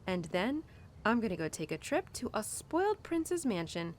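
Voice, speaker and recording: A woman's voice: mid-range voice, sing-song, normal speed, slight background noise, lightly acted. A woman playing a young, animal-loving cowgirl who confides tenderly in her horse in an intimate, casual, rambling audio roleplay. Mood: playful, sassy. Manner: casual, rambling, sighing and giggly.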